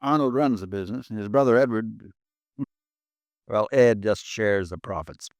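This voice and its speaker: An actor playing an easygoing, joking insurance branch manager in 1950s radio drama style. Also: male voice, lowish voice, normal speed, darkish tone, no echo, lightly acted. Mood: breezy. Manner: breezy and joking.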